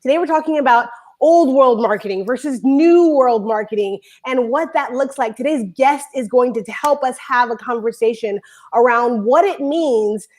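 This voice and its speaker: A woman hosting a live online talk show, bubbly and rambling, talking in quick bursts. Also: sits high, darkish tone. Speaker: show host.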